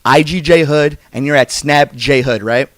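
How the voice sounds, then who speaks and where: A man's voice: a little high, moderate pace, a bit bright, no room sound, clean studio sound. A man with an American accent hosts a casual podcast, speaking in an earnest, effusive way. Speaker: podcast host and interviewer. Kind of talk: casual podcast interview and sign-off.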